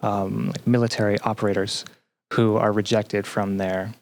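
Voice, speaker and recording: A man speaking as a podcast guest, explaining things casually with hesitant, thoughtful, halting phrasing. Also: on the low side, level tone, vocal fry. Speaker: podcast guest, game developer and researcher.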